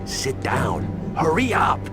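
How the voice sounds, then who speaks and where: A man's voice: medium pitch, wildly swooping pitch. An actor playing a nervous, whining, frightened male informant in a video game. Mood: nervous, fearful. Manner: nervous, hurried phrases. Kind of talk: video game cutscene acting. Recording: music under the voice.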